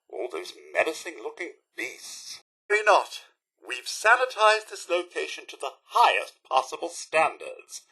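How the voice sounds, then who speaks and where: A high-pitched, raspy British robot butler for a video game. He is fussy and formal, swooping theatrically through his sentences with a servant's prim courtesy and dry resentment. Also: bright, forceful tone, exaggerated voice, rough recording. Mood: fussy, chipper. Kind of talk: video game character voice lines.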